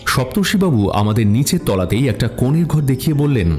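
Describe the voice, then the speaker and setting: A man narrating a detective story in the first person as the sleuth's companion, in an expressive storytelling manner. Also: mid-range voice, fairly quick, faint music behind, close to an everyday voice. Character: male detective's companion recounting the case.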